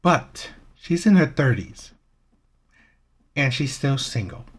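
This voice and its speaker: A man's voice: mid-range voice, sing-song, takes it slowly, slightly rough. A man hosting a reaction channel, giving opinionated commentary with rhetorical questions and frequent "right?" checks.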